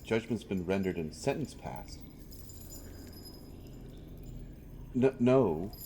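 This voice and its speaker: A man playing an earnest, protective royal interrogator secretly helping a prisoner in a fantasy audio roleplay, speaking haltingly and with urgency. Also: fairly low pitch, animated, mellow, a little hiss or hum. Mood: tense, guarded. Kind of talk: dramatic fantasy audio roleplay addressed to the listener.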